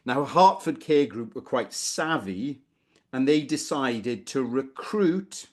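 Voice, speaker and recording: A man's voice: medium pitch, animated, takes it slowly. A middle-aged man from Wales giving a conversational legal lecture over a video call, informal with bursts of emphasis. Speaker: barrister presenting a legal webinar. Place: small room indoors, video call.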